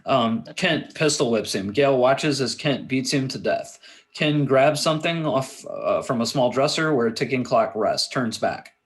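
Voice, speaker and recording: A man with a mid-pitched voice reads screenplay directions as narrator. His delivery is scripted but halting, with words stumbled over as at a table read. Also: lively intonation. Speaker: narrator reading stage directions. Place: indoors on a remote internet call.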